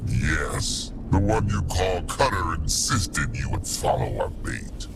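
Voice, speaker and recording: Menacing fantasy game warlord: slow, gravelly, pompous and swooping, under loud music. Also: male voice, deep voice, lively intonation, full character voice. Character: menacing, grandiose male warlord calling himself Dragon King. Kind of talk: dramatic fantasy video game acting.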